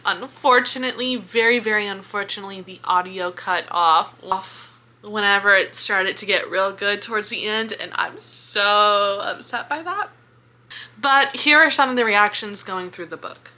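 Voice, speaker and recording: A woman book vlogger chatting casually in an expressive, informal way with plenty of swearing. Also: medium pitch, moderate pace. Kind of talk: casual reaction chat to camera.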